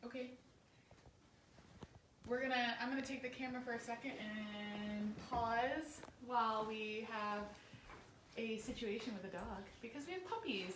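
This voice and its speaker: A woman reading a picture book aloud to children, expressive and chatty, pausing to speak directly to her young listeners. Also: on the high side. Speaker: story time reader for a church. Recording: roomy, distant microphone, faint background noise.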